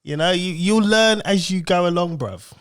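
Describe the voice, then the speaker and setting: A man's voice: high-pitched, moderate pace, a bit bright, smooth. A middle-aged man chatting on a podcast, a laid-back storyteller who laughs often. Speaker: podcast co-host. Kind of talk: casual chat.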